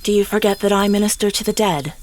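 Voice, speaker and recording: A woman playing a calm, assured priestess of the dead in a fantasy audio drama, firm and reassuring. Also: mid-range voice, fast, lightly acted.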